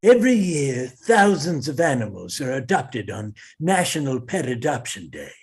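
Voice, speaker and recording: A man's voice: mid-range voice, big pitch swings, normal speed, slightly rough. A man playing an earnest talking animal who solemnly interprets and tells stories at a comedy table read.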